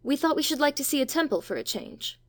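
A high, eager young boy. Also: big pitch swings, fast, a bit bright, full character voice. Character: eager young English schoolboy, quick-talking.

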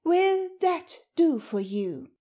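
Man putting on a high, languid, haughty queen. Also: big pitch swings, takes it slowly, warm tone, exaggerated voice. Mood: serene. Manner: languid, regal, unruffled. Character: serene, haughty, imperious Babylonian queen.

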